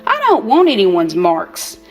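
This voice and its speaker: A woman's voice: medium pitch, wildly swooping pitch, a little slow. An older woman voicing a small, timid wooden boy puppet who sounds meek and unsure. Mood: downcast.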